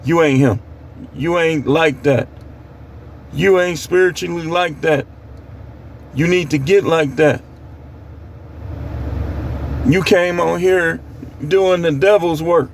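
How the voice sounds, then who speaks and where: A man's voice: on the high side, fairly even intonation, slow, gravelly, long pauses, a little hiss or hum. An American man preaching informally on scripture in a plain-spoken, admonishing way, repeating phrases for emphasis. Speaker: street preacher.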